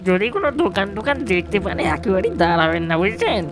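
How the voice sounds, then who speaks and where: A man's voice: high voice, animated, brisk, music in the background. A man playing a village local in an audio horror story, giving folksy, secretive warnings peppered with little tag questions. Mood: mysterious warning. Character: village man pushing a bicycle, mysterious, cautioning local.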